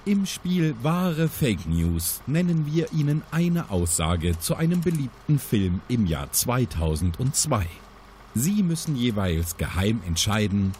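An actor playing a formal, solemn agency announcer reading a scripted mission briefing on a tape in an audio drama. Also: male voice, mid-range voice, darkish tone, slight background noise.

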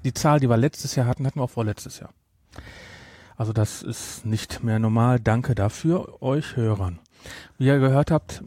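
A man's voice: mid-range voice, dark tone, dry recording. Man hosting a podcast, dry close sound. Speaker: podcast host. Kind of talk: casual podcast chat.

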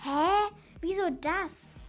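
Female performer as very shrill, whiny messy youngster. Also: very slow, faint music behind, heavily acted. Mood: puzzled. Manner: whiny, exclamatory. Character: messy, whiny, lazy youngster who relies on a robot. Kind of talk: scripted children's radio play.

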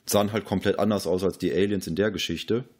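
A man's voice: low voice, fairly even intonation, fast talker, darkish tone. A man co-hosting a film podcast, moving between casual talk and prepared summaries. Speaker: podcast co-host.

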